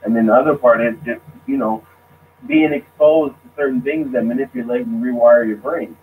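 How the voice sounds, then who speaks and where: A man speaking casually as a guest, halting and restarting his sentences. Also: mid-range voice, fairly even intonation. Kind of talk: casual discussion. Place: lives abroad. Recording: tinny phone line with faint noise.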